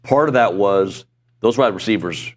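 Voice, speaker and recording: A man's voice: lowish voice, normal speed, slight background noise. Chatty middle-aged male sports host. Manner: chatty, self-deprecating, leads the conversation. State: voice going hoarse. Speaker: sports talk show host. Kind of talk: casual sports talk chat. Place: broadcast studio.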